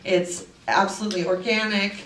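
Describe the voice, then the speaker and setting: A woman introduces the morning's speaker in a measured, formal way, with a few fillers. She sounds far from the mic, the room is echoey, and steady background noise is clearly heard. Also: mid-range voice. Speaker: parent committee representative introducing the speaker. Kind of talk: event introduction. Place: school event room.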